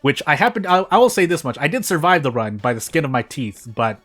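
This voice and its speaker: A man's voice: fairly high pitch, very animated, quick pace, a bit bright, faint music behind. A man doing casual gaming video narration and commentary in a rambling, self-deprecating way. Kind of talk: casual gameplay commentary and fanfic reading.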